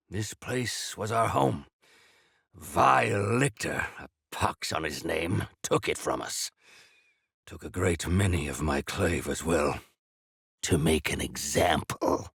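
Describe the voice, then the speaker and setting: An actor playing a grandiose, eccentric exiled miner rebel in a video game, speaking in florid, archaic, theatrical phrases. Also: male voice, deep voice, lively intonation, takes it slowly, vocal fry, fairly bright tone, slightly rough, dry recording, studio quality, full character voice. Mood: grave, sorrowful.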